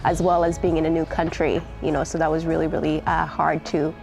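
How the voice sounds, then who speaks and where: A woman in her twenties from Tanzania, telling her story in a documentary interview, earnest and pausing with "uh" and "you know". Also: deep voice, flat delivery, vocal fry, darkish tone, faint music behind. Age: 20s. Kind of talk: personal storytelling.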